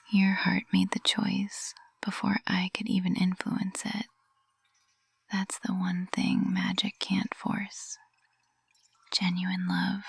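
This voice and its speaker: Woman acting a breathy, whispering witch teacher in love. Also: medium pitch, no echo, clean studio sound, full character voice. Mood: soft, reassuring. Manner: slow, hushed, intimate, adoring. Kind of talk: intimate ASMR whispered roleplay. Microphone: close ASMR microphone.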